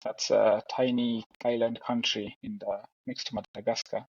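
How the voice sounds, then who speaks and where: A young man from Uganda, a film director, talking casually and haltingly with many restarts on a headset during a video call. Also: on the low side, creaky, slightly rough. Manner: relaxed, halting, self-deprecating with restarts. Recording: dry, dull lo-fi call audio.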